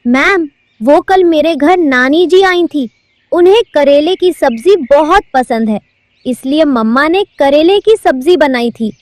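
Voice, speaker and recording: A woman's voice: high voice, animated, a little slow, a little mellow, no room sound. A woman voicing a meek young boy pigeon student in a cartoon, childlike and pleading. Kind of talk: cartoon voice acting.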